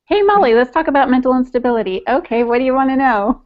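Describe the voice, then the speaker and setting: Playful higher-pitched woman, muffled call audio. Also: animated, fast talker. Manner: playful, teasing. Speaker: podcast co-host. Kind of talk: casual, joking chat. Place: home room, video call. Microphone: earbud headset. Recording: dull, lo-fi video-call audio.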